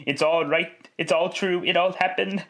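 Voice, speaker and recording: Overjoyed old man in a radio drama, highish bright voice, all excited and stammery. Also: takes it slowly, exaggerated voice. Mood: amazed, joyful. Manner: exclamatory, stammering with excitement, breaking into chuckles. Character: giddy, overjoyed old miser turned merry. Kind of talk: classic radio drama acting.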